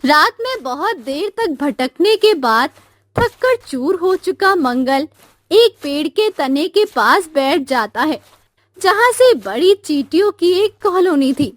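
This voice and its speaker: A woman's voice: high voice, no echo. A woman narrating a scripted cartoon moral story.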